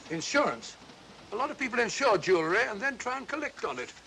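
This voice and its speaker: An actor playing a bumbling, huffy older English doctor in a 1940s film, muttering and easily offended, with an English accent. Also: male voice, high-pitched, big pitch swings, quick pace, vocal fry, bright, forceful tone, exaggerated voice. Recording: noisy old 1940s film soundtrack.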